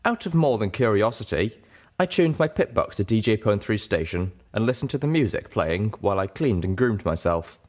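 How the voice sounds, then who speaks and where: A man narrating an audiobook as an earnest, self-doubting young mare, in a measured, deliberate way. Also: fairly low pitch, lively intonation. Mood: reflective. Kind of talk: scripted first-person audiobook narration.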